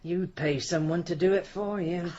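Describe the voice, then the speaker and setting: An actress playing a blunt, disapproving village shopkeeper in a radio drama, rustic and needling. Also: female voice, lowish voice, steady tone, normal speed, warm tone, highs cut off, close to an everyday voice. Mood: needling, defensive. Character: blunt, grudging village shopkeeper woman, suspicious of Londoners.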